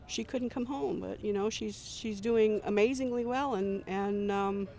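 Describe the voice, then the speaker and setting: A woman's voice: medium pitch, unhurried, creaky, dark tone. A middle-aged woman volunteer speaking in an earnest, reflective way in an interview. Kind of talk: interview testimony.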